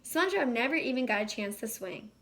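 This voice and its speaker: Female storyteller for a children's read-aloud, slightly high voice, expressive delivery. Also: fast. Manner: animated, acts out dialogue. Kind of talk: expressive picture-book narration.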